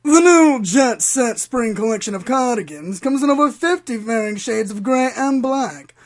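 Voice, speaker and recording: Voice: mid-range voice, lively intonation, moderate pace, lightly acted. Haughty fashion pony, voiced by an actor. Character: snobbish fashion pony in a radio advert. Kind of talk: parody radio advert.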